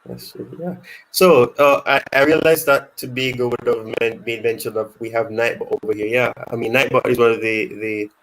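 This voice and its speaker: A man's voice: medium pitch, steady tone, normal speed, a bit bright, slight background noise, low-quality recording. A man hosting a casual football fan live stream, reading chat questions aloud and rambling with many restarts.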